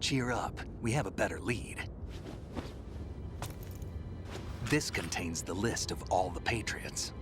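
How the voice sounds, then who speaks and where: Very low, gravelly veteran soldier. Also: male voice, lively intonation. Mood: assured, matter-of-fact. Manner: deliberate, earnest, reassuring. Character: gruff, weary veteran soldier, steady philosophical mentor. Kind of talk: dramatic video game cutscene acting. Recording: loud music under the voice.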